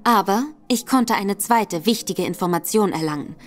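An actress playing a confident, cunning female detective in a radio drama, speaking briskly. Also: medium pitch, faint music behind, close to an everyday voice. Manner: self-assured, brisk.

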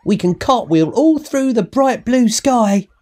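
Playful little storybook bird,, very high, swooping, excited, eager. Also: male voice, unhurried. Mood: excited, inviting. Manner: excited, sing-song.

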